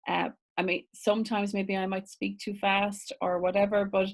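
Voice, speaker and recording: Irish woman, business coach on a live class; chatty, quick to laugh, reads viewer comments aloud. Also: mid-range voice, little pitch movement. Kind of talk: live online masterclass.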